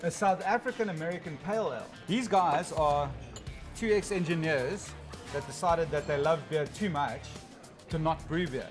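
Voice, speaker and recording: A man's voice: fairly high pitch, moderate pace, over music. A man co-hosting a casual beer tasting show, playful and jokey as he presents.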